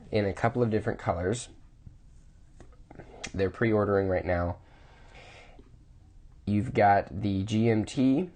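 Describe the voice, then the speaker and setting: A man's voice: fairly low pitch, a little mellow. A man reviewing watches casually, thinking aloud with restarts, his voice a bit off from a cold.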